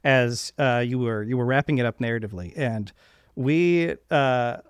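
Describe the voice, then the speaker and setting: A man's voice: mid-range voice, moderate pace, smooth, no room sound. A man hosting an interview podcast, speaking in an earnest, effusive way. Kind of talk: podcast interview introduction and chat.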